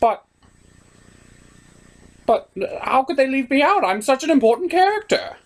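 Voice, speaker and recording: A man's voice: extremely high pitch, very animated, measured pace, pitched above the performer's own voice, heavily acted. Man putting on a pompous, indignant puppet chaos spirit. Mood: indignant, hurt. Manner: pompous, theatrical. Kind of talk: puppet show parody acting.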